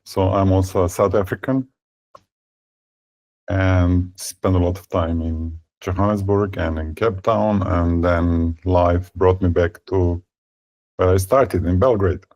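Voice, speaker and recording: A man in his fifties from Serbia, speaking as a guest in an interview. He talks haltingly, with many fillers and repeated words. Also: low-pitched, fairly even intonation, a little mellow. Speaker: tech company founder, guest. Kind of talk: conversational interview.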